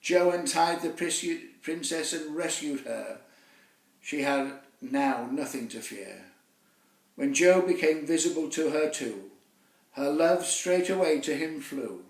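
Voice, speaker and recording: Echoey, slow-reading man reciting children's verse. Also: a little high, expressive, vocal fry. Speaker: poet reading his own children's poem. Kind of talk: dramatic rhymed storytelling for children. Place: echoey room, away from the mic. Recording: roomy echo, distant microphone.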